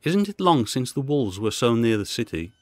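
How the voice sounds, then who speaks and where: A man voicing a curious, headstrong English gentleman on his travels in a gothic audiobook. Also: mid-range voice, sing-song, moderate pace, no room sound, natural voice.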